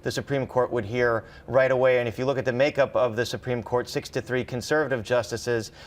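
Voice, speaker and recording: A man with a mid-pitched voice talks through a news story as a political reporter. He is conversational and a little halting, then leans hard on the points he wants to stress. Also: fairly even intonation. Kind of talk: live news analysis, conversational.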